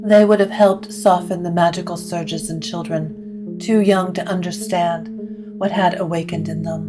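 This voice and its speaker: A woman narrating a scripted gothic story in a measured, literary way. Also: on the low side.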